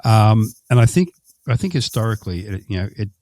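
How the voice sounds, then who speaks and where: A man with a very deep, mellow voice hosts an interview. He asks long, meandering questions, full of restarts and fillers. The sound is dry and close. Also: very animated, no room sound. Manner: rambling, halting, many fillers. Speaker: host.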